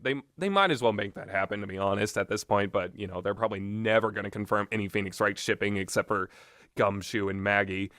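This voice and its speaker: A man giving rambling, opinionated game commentary in a blunt, exasperated, sweary and halting manner. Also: lowish voice, a bit bright, no room sound, studio quality. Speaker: video game reviewer.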